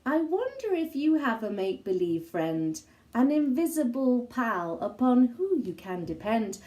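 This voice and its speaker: A woman narrates a children's storybook in a high, soft-toned voice. She takes her time, shaping each phrase expressively with dramatic pauses. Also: sing-song. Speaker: storybook reader.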